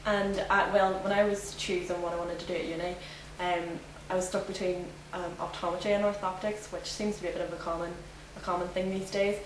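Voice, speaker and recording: A woman's voice: on the low side, flat delivery, a little fast, hardly any pauses, recorded from a distance. A young woman from Northern Ireland with a Northern Irish accent, a student answering interview questions in a chatty way with many fillers. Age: young adult. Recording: echoey room, from afar, noisy.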